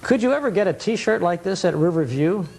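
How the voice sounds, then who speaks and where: Higher-voiced male nostalgia show host. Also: expressive, fairly quick, darkish tone. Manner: chatty, reminiscing, often interjecting. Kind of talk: casual television interview.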